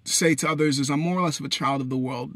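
A young man from Haiti, talking into his phone in a parked car at night, blunt and profane, swinging between loud bursts and low mutters. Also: fairly even intonation, fast talker, dry recording. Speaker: vlogger. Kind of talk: rambling personal monologue. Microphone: phone camera at arm's length.

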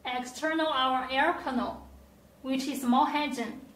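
A woman with a high voice gives a scripted product demonstration. She speaks slowly and carefully, with halting non-native phrasing. The sound is echoey and set back from the microphone. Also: fairly even intonation, fairly bright tone. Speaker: product presenter. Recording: roomy echo, distant mic, faint noise.

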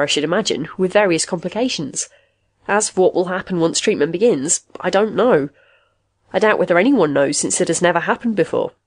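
Lady playing a no-nonsense expert guy in a sci-fi audiobook, dry, measured, lively pitch. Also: no echo. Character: authoritative, matter-of-fact male technical expert.

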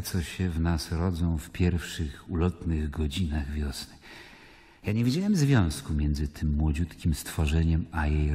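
An actor playing a worldly, skeptical Roman governor, narrating in a measured, musing, literary way in a radio drama. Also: male voice, low-pitched, mellow, husky, no room sound.

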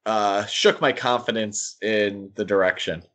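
A man's voice: lowish voice, steady tone, a little slow, a bit bright. Casual male podcast host.

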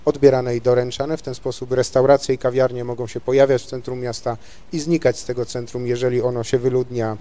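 A man speaking on a panel discussion as a vehicle designer, articulate and measured, pausing on "e" fillers. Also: mid-range voice, warm tone. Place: large university lecture hall.

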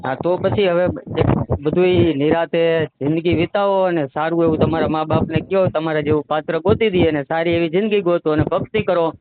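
A man speaks in a somewhat high voice with a Kathiawadi Gujarati accent. He advises the other person step by step and keeps checking they follow. The sound is a narrow, noisy phone line. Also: fairly even intonation, fairly quick. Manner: explanatory, often checking the listener understands. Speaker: ashram holy man giving advice. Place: phone call.

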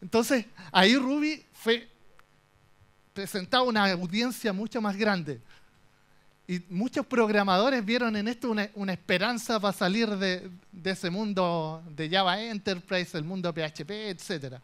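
A man's voice: high voice, moderate pace. Joking young Chilean man on stage. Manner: animated, joking storyteller. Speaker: conference speaker. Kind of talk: humorous tech talk. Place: stage in a conference hall.

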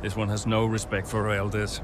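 A man's voice: on the low side, completely flat delivery, moderate pace, noisy background, close to an everyday voice. An actor playing a modest, good-humoured older man who mentors racing drivers, speaking in a self-effacing way in a video game interview. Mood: bashful, amused.

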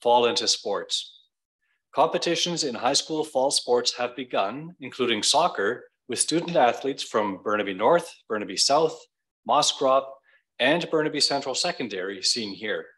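A man reads scripted district news in the role of a school trustee. His voice is bright and forceful at a medium pitch, with the delivery of a prepared report. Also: lively intonation, normal speed, low-quality recording. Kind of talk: scripted narration, read aloud at a meeting.

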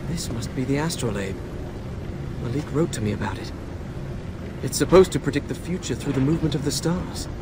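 Wry young prince, played by a man, over loud ambience. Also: medium pitch, brisk, heavy background noise, close to an everyday voice. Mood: determined musing. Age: young adult. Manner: wry, dry, talking to himself. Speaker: game protagonist. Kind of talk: in-game character monologue.

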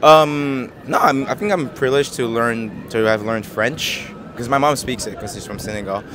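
A man in his twenties from Japan, answering street interview questions in a soft, hesitant way with many fillers and easy laughs, into a handheld mic outdoors. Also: mid-range voice, normal speed. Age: 20s. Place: busy city square outdoors. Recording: busy street crowd behind.

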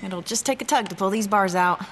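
An actress playing a plucky young TV reporter in a video game, teasing and breezy. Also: female voice, medium pitch, fairly quick, background noise, lightly acted. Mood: teasing, confident. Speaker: hero's companion, a TV presenter. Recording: game music behind the voice. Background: music.

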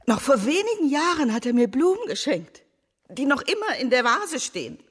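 A woman's voice: sits high, big pitch swings, normal speed. An actress playing a weary, grey-haired, secretive mother who fusses over her son in a confiding manner. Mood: wistful. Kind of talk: radio play acting. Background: faint music.

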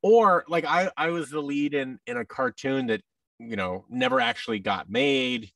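A man's voice: mid-range voice, moderate pace, a bit bright, no echo. American man, slightly distant dry mic. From United States. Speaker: guest, voice actor. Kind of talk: casual interview chat. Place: office room indoors, video call. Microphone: boom microphone and headset on a video call.

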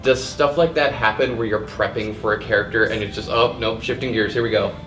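Casting: young male interviewer, quick rambling casual delivery, lowish voice, roomy sound. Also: fairly even intonation, fairly bright tone, soft background music. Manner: rambling, loosely phrased questions. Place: convention press room, indoors. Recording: roomy, mic at a distance.

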